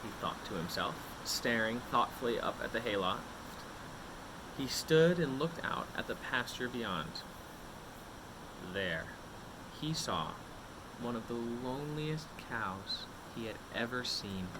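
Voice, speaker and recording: A man reads a picture book aloud in a medium-pitched, highly animated voice. He stretches words for drama and leaves suspenseful pauses, like a storyteller for young children. There is a light background noise on the recording.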